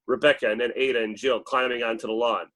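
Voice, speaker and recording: A man's voice: mid-range voice, fairly even intonation, fast talker, a bit bright. A man reading stage directions as dramatic scripted narration at a table read.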